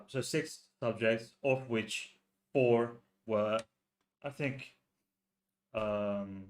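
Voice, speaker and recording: A man from Finland hosting a casual chat, talking in a halting way full of restarts and filler sounds. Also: medium pitch. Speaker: host.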